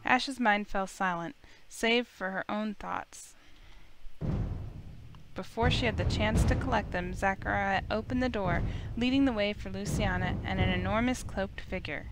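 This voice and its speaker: A woman's voice: mid-range voice, a little slow, poor audio. A woman narrator reading scripted fantasy story narration. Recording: loud music under the voice. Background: loud music.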